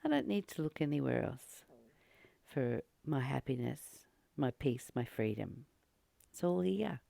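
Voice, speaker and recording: A woman giving a spiritual teaching, reading and commenting informally in an earnest, deliberate way, with frequent pauses and emphatic repetition. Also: a bass voice, sing-song, gravelly, mellow, no room sound. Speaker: spiritual teacher and channel host.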